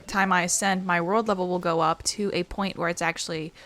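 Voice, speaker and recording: A woman's voice: lowish voice, fairly even intonation, brisk, fairly bright tone. A woman giving casual, playful gameplay commentary, thinking aloud as she goes. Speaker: video game streamer.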